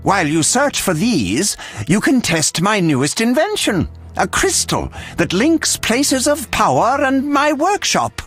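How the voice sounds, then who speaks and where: An actor playing an eccentric, self-important alchemist in a video game, obsessive and theatrical, with fussy delivery. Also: male voice, high-pitched, wildly swooping pitch, a little slow, fairly bright tone, slightly rough, faint music behind, full character voice. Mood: pompous, eager.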